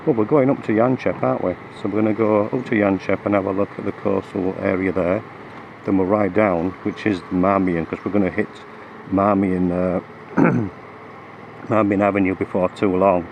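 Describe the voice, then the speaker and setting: A man riding a motorcycle with a cold-roughened, croaky voice, chatting through the route with lots of ums and restarts. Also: low-pitched, steady tone, moderate pace, highs cut off. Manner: hesitant, many fillers and restarts. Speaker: motorcycle vlogger. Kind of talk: rambling ride commentary. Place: on a motorcycle on the road. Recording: road and engine noise behind.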